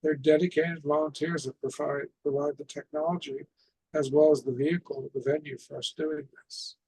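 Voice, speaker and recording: A man giving an informal instructional presentation as a radio net host, explaining in a halting way with repeated phrases. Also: on the high side, fairly even intonation, darkish tone, husky, dry recording, poor audio.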